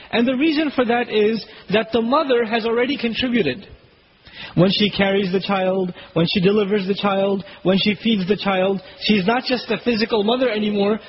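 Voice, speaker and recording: A man whose first language is Urdu, giving an Islamic lecture. He explains Arabic words slowly and recites Quranic verses quickly. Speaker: Islamic preacher. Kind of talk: religious lecture. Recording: dull low-fidelity recording with faint hiss.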